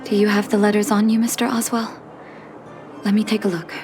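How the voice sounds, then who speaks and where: A woman playing a gentle, dutiful young doctor in a video game, with a soft, earnest, sometimes breathy delivery. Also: mid-range voice, little pitch movement, brisk, lightly acted. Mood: questioning, concerned. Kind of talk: video game story voice acting. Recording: music behind the voice.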